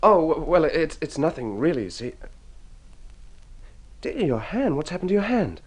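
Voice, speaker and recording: An actor playing a sheepish, devoted young husband in an old radio drama, speaking earnestly and hesitantly. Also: male voice, fairly high pitch, big pitch swings, moderate pace. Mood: concerned, agitated.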